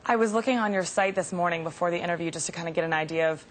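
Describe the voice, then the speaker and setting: A woman hosting a web interview show, friendly and quick to laugh. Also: fairly low pitch. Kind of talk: hosted interview.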